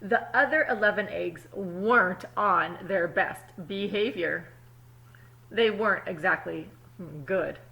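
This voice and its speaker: Woman reading as an earnest little storybook egg, bright-voiced. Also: medium pitch, gravelly, heavily acted, rough recording. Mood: exasperated. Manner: emphatic, drawn-out storybook delivery. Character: earnest, dutiful little egg, eager to be good. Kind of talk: first-person children's storybook narration.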